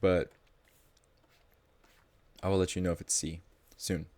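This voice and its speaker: A man's voice: sits low, flat delivery, a little slow, a little mellow, no echo. A man tutoring math live in a casual, informal way, thinking aloud as he explains.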